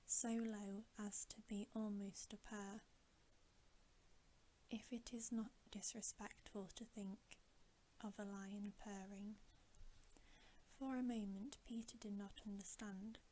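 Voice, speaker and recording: A woman reading a bedtime story as ASMR in a soft, breathy whisper, gentle and soothing. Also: medium pitch, little pitch movement, slow pace, long pauses, a little hiss or hum, rough recording.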